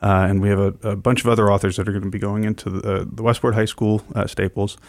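A man co-hosting a radio show, explaining events informally and enthusiastically, with hesitant pauses and repeated words. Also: on the low side, little pitch movement, a little mellow, no echo, clean studio sound. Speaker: radio co-host and festival programmer.

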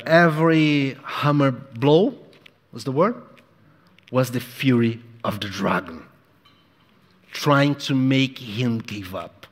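A man preaching a sermon in accented English, a non-native speaker who acts out voices dramatically. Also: a bit bright. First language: not English. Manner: dramatic, acts out the devil's voice in low tones. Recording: long hall reverb.

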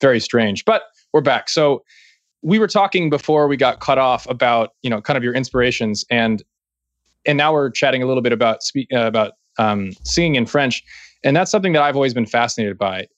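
A male podcast host talks in a loose, joking way, often starting a sentence over before he finishes it. His pitch is mid-range, and the sound is dry and close with no room echo. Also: animated, a little fast, dull audio. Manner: chatty, joking, many restarts. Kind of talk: casual interview chat.

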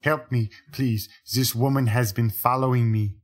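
A frightened elderly man who pleads for help and stumbles over his words, as a woman narrator puts him on. His voice sits low and has a husky edge. He sounds fussy and cowering. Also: steady tone, normal speed. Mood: pleading.

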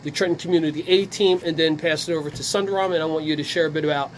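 Radio host guy introing guests, friendly, highish voice, a little room hum. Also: steady tone, racing, low-quality recording. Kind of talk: radio talk show hosting.

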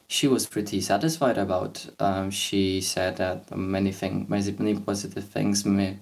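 Young man, very deep and unhurried, halting podcast talk. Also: a little mellow. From Poland. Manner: hesitant, many fillers and restarts. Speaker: podcast host. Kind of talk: casual podcast discussion.

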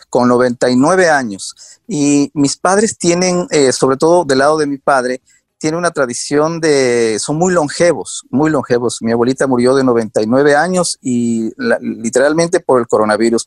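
A man in his forties from Quito with an Ecuadorian accent, a guest telling his story in a casual interview, animated and often laughing. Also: medium pitch, no echo. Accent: Quito Ecuadorian Spanish. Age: 40s.

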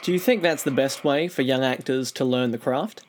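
A man's voice: mid-range voice, moderate pace, slight background noise. A man, presenting a podcast and interviewing a guest as its host.

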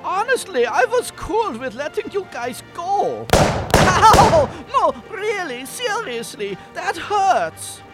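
A man's voice: extremely high pitch, sing-song, slow pace, over loud music, pitched above the performer's own voice, full character voice. A man voicing a whiny, put-upon captain who protests plaintively in a radio film parody. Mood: pained protest.

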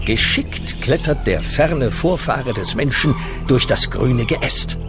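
A grandly dramatic storyteller in a comic radio play. His fairly low voice unfolds slowly with wide, expressive movement, over music. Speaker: narrator. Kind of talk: scripted dramatic narration.

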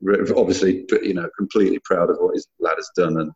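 Low-voiced chatty British man, echoey line. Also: fairly even intonation, quick pace, a little mellow, muffled recording. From Britain. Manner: chatty, rambling, many fillers and restarts. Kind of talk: casual chat.

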